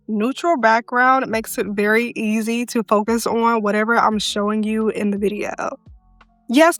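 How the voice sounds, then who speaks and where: A woman with a soft voice, chatting casually to the viewer as she tours her filming setup in her living room, holding a wireless mic. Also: fairly high pitch, no echo. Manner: chatty, talks straight to the viewer. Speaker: content creator. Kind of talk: casual home setup tour vlog. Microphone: handheld wireless mic. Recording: dry close mic with faint music.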